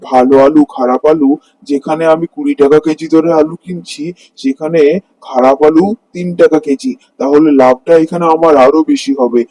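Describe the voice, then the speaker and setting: A man plays a crafty, greedy street food seller from a cartoon morality tale. His voice sits fairly high for a man, with a stubborn, defensive hawker's patter. The recording is close and dry. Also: steady tone, normal speed, mellow, no echo, natural voice, poor audio. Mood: bossy, greedy. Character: greedy, dishonest male street snack vendor.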